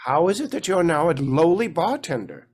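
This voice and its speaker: A man's voice: a little high, sing-song, moderate pace, darkish tone, breathy edge. A man acting a harried, henpecked lord and father in a fairy-tale stage play, flustered and theatrical. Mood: curious, reminiscing.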